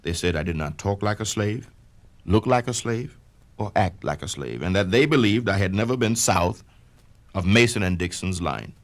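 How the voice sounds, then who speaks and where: A man giving a measured, declamatory first-person reading of an autobiography for a vintage spoken-word record. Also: low-pitched, expressive. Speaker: narrator reading a memoir.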